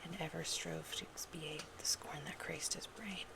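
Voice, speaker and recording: An ASMR performer reads love poetry in a soft, intimate, unhurried whisper that is breathy with creaky edges. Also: medium pitch, fairly even intonation. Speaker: ASMR performer reading love poems in a roleplay.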